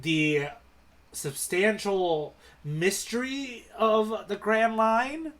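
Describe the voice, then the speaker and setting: A man with a voice a bit higher than average, acting as guide on a casual podcast. He talks in an informal, explanatory way and steers the discussion from point to point. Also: drawn-out words, fairly bright tone.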